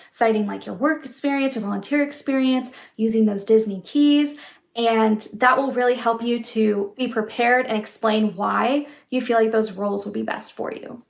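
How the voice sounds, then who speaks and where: A young adult woman giving friendly advice in a vlog, speaking in an encouraging, reassuring way. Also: on the high side. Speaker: vlogger giving application advice. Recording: roomy, echoing room sound.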